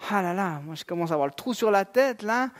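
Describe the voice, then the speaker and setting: A high-voiced man in his thirties delivers a church sermon. He keeps it conversational, mixing fillers and relaxed asides into his preaching. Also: fast. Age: 30s. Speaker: pastor. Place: church sanctuary.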